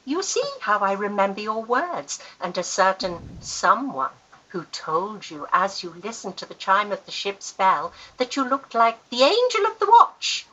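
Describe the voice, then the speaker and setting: Woman playing a bright, animated older Victorian lady. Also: mid-range voice, measured pace, lo-fi sound, full character voice. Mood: wry, fond. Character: worldly, affectionate older aunt and wealthy guardian, teasing, wry. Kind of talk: Victorian period radio acting.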